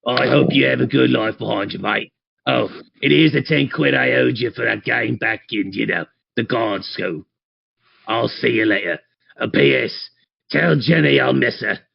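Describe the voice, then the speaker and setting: A man's voice: mid-range voice, moderate pace, vocal fry, breathy edge, highs cut off. A man voicing a weary, regretful fellow reading out his chummy farewell confession in a tabletop game. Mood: rueful, resigned. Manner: chummy, rueful, colloquial.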